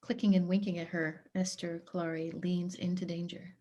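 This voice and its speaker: A woman's voice: fairly low pitch, flat delivery, moderate pace, dark tone. A woman reading stage directions as scripted narration for a play.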